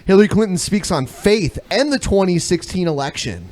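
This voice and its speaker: A man talks in a casual, irreverent podcast style, swearing freely. His voice sits a little high and moves up and down a lot. He comments and jokes as a co-host would. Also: animated, moderate pace, darkish tone, slight background noise. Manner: profane, irreverent. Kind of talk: casual comedic banter and commentary.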